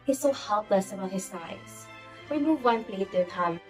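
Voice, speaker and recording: A woman playing a fussy, excitable society hostess and mother in an amateur stage play, brisk and commanding. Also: mid-range voice, close to an everyday voice. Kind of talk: amateur one-act play acting. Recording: music behind the voice.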